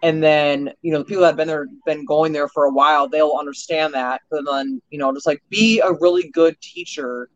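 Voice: mid-range voice, steady tone, brisk, few pauses, dull audio. A podcast co-host talking casually in an earnest, rambling way. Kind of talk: casual chat.

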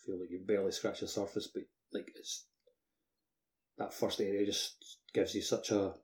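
A man's voice: deep voice, flat delivery, moderate pace. A man co-hosting a podcast in casual chat, speaking loosely with many fillers. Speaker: podcast host.

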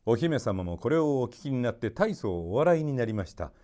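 A man narrating a children's story expressively, in a polite and measured storytelling manner. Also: mid-range voice, big pitch swings, mellow.

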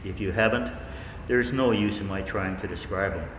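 A man playing a haunted researcher looking back, narrating a 1940s radio play in an intimate, deliberate, ominous way. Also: lowish voice, lightly acted. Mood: knowing, ominous. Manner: intimate, deliberate, knowing, ominous direct address. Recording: echoey hall, long reverb, faint noise.

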